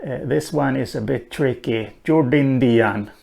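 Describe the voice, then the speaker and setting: Swedish-speaking guy explaining a language lesson, real slow, lots of uh's, mid-pitched voice. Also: gravelly, a little mellow. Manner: slow and thoughtful, with many "uh" pauses. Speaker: language teacher. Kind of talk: unhurried spoken lesson on a language.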